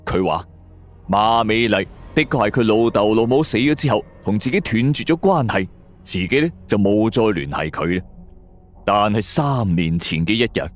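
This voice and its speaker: A man's voice: on the low side, lively intonation, moderate pace. A man narrating a suspense story in a colloquial, dramatic storyteller style. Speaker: audiobook narrator.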